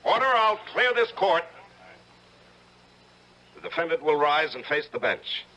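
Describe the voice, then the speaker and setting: An actor playing a composed, calculating murderous judge in old-time radio drama style, theatrical and authoritative. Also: male voice, fairly high pitch, very animated, measured pace, sharp tone, exaggerated voice, rough recording. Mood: stern, commanding. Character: secretly murderous male judge, composed, calculating, self-satisfied.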